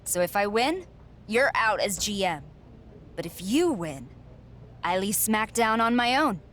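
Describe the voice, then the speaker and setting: A woman's voice: medium pitch, sing-song, measured pace, a bit bright, a little hiss or hum. A woman playing a defiant, determined female pro wrestler in a video game cutscene, speaking in a firm, confrontational way.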